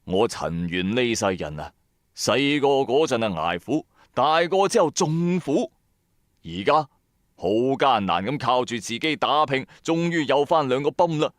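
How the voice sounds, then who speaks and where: A man's voice: medium pitch, animated, a little slow, dry recording, clean studio sound, close to an everyday voice. A man playing a bankrupt, hot-tempered trader who narrates his own story in colloquial, emphatic style for a radio drama. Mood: bitter, lamenting. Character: bankrupt male electronics trader, hard-pressed, hot-tempered, streetwise. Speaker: first-person narrator and lead. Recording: background music under voice. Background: music.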